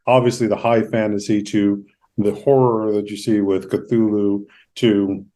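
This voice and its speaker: A man hosting an interview podcast in a conversational, reflective way, with measured and somewhat wordy phrasing. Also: on the low side, little pitch movement, warm tone. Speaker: podcast host, therapist.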